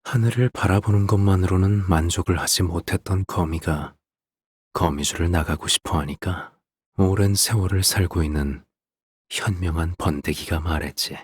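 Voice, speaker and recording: Game role: an eerily calm, possessive father; low, very breathy husky voice, lingering pauses. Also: no room sound, clean studio sound. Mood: storytelling calm. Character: quiet, possessive, hollow-hearted father of a daughter. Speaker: game character voice. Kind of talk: dramatic game voice acting, slow monologue.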